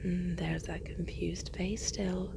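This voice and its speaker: A woman playing a seductive, sly demon who owns a bar, in a dark roleplay audio, teasing and savouring her words. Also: on the low side, little pitch movement, slow, gravelly, noisy background. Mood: sly, gloating. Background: music.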